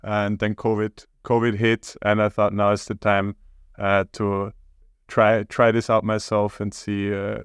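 A man from Austria, a startup co-founder talking as a podcast guest in a hesitant, halting way. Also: lowish voice, completely flat delivery, smooth, dry recording, studio quality. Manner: hesitant, halting, many pauses. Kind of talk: casual interview talk.

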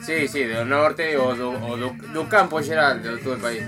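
Man, casual interview chat, quick pace, medium pitch, very loud guitar music behind.

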